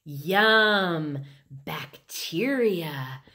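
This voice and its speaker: A woman's voice: deep voice, lively intonation, extremely slow, sharp tone, exaggerated voice. Woman as a bold, gleeful five-year-old girl. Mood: relishing.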